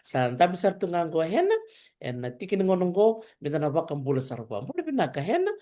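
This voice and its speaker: A host, interviewing a guest in casual chat and asking gentle questions with many hesitant fillers. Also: medium pitch, sing-song, quick pace. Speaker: talk show host interviewing a student. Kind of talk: casual interview chat, switching between Fijian and English.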